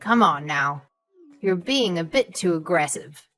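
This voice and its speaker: A woman's voice: lowish voice, lively intonation, a little slow. A woman playing a haughty, suspicious elderly mother-in-law, scornful and condescending, in a scripted audio story. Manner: condescending, sharp, calls others sweetheart and darling.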